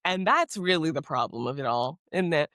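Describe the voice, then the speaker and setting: Woman with a lively, swooping voice. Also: low voice, normal speed, no room sound, studio quality. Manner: rambling, trails off and restarts thoughts. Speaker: podcast co-host. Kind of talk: casual podcast conversation.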